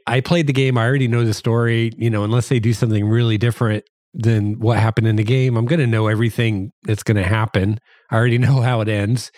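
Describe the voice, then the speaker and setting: A man from the American Midwest hosting his own podcast, talking in a relaxed, chatty way with many fillers and repeated words, his voice slightly hoarse from allergies. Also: medium pitch, no echo, clean studio sound. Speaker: podcast host. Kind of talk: casual solo podcast monologue.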